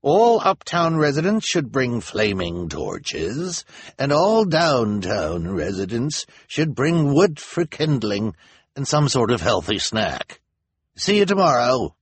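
A man voicing a pompous older male town elder who makes loud declarations, recorded dry and close. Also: mid-range voice, big pitch swings, slow pace, slightly rough, dry recording, pitched above the performer's own voice, heavily acted. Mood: proclaiming.

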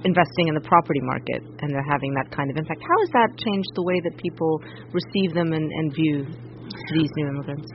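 A man with a somewhat high voice narrates a radio feature and puts questions to his guest in a calm, composed way. The audio is slightly muffled, with a faint hiss behind it. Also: brisk, creaky. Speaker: radio interviewer and reporter.

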